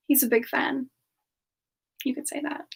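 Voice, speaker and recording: A sweet, affectionate demon woman, played by a female streamer, with a high voice and an unhurried pace. She chats in a giggly, fond way, as in a semi-ASMR livestream. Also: creaky, slightly rough, exaggerated voice. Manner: gently giggly, affectionate, calls listeners darling. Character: Frostbyte, sweet affectionate demon woman, internet slang novice.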